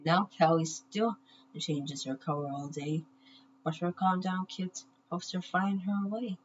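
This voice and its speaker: A woman reading a children's story aloud in measured short phrases with pauses, on a muffled, noisy recording. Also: on the low side, steady tone. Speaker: children's book reader.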